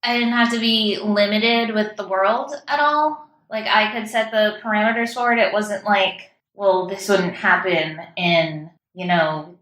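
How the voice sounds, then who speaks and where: A woman speaks as an interview guest in a casual, chatty way, with enthusiasm for what she describes. Her pitch sits in the middle range for a woman. The sound is roomy, with audible echo. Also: a bit bright. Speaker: guest playwright.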